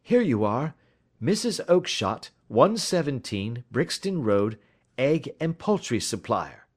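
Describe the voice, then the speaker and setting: Audiobook part: shrewd gentleman sleuth, played by a man, nonchalant and courteous, pitched up with a lilting swing. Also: sing-song, slow. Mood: level, reading aloud. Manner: careless, polite, lilting. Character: cool, shrewd gentleman detective needling for information. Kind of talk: audiobook character dialogue.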